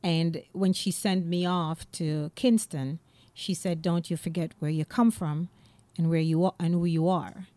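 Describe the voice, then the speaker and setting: A woman, an actress, speaks with a dark, mellow, lowish voice in an earnest, personal way. The recording is dry and close. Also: steady tone, a little slow, dry recording. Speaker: actress and playwright. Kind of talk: conversational interview answer.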